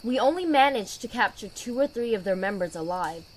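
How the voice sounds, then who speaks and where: A woman playing a dutiful, weary knight commander in an audio drama, speaking in a measured, authoritative way. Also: a little high, lively intonation, low-quality recording. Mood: weary, concerned.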